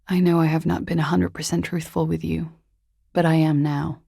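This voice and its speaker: An actress playing a weary, guarded young woman on the run in a video game, speaking in a hesitant, subdued, confessional way with a breathy, gravelly voice. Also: little pitch movement, moderate pace, dark tone, no echo, studio quality, exaggerated voice. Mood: weary, confessing. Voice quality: low, breathy, husky and gravelly. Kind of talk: video game character dialogue, intimate and understated.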